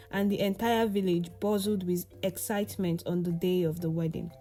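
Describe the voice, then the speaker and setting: A woman's voice: medium pitch, dark tone. A woman narrating a scripted folktale as a storyteller.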